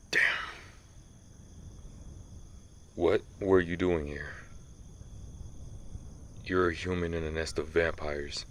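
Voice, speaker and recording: A man's voice: rumbling low, a little hiss or hum. A man playing a centuries-old vampire who hunts vampires, stern, commanding and menacing, in a scripted ASMR audio roleplay. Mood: wary, probing. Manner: slow, menacing, interrogating.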